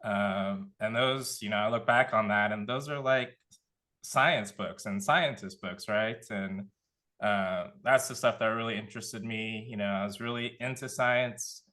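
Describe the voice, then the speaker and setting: A man with a lowish, bright and forceful voice talks about his path into his field. He speaks casually, pausing with frequent ums and uhs as he thinks aloud. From Contra Costa County, California. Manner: relaxed, many fillers, thinking aloud. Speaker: panelist, nonprofit director. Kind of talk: casual career talk.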